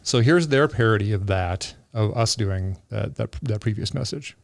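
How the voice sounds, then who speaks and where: A man's voice: on the low side, moderate pace, creaky, dry recording, clean studio sound. A middle-aged man hosting a podcast, reminiscing in casual chat. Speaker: podcast host.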